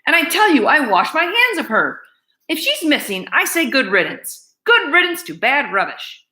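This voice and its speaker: A woman voicing a harsh, dismissive castle servant woman who shouts and scolds. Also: on the high side, big pitch swings, moderate pace, voice pushed up. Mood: scornful. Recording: roomy echo.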